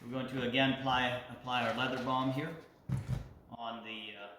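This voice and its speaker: A man in his thirties giving a casual hands-on tutorial, speaking haltingly with pauses mid-sentence. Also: medium pitch, little pitch movement, bright, forceful tone. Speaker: leathercraft instructor. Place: large indoor workshop with concrete floor. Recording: echoey roomy sound, clear background noise.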